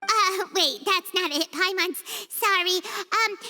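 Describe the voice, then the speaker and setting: A woman's voice: very high voice, slow, bright, forceful tone, a little hiss or hum, exaggerated voice. A woman voicing a tiny, chatty female companion who talks about herself in the third person and stammers when flustered. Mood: sheepish. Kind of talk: video game cutscene dub. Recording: long reverb.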